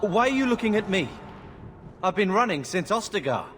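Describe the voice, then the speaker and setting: An actor playing an impatient, cynical young soldier brother in a fantasy video game, blunt and sardonic. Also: male voice, high-pitched, very animated, moderate pace, a bit bright, soft background music. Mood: irritated.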